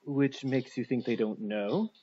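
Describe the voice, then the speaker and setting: A man's voice: mid-range voice, unhurried, warm tone, muffled recording, slight background noise, natural voice. A man voicing a defiant married woman held captive in an audiobook, with dry, gracious sarcasm. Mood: coolly gracious. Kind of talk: audiobook character voice.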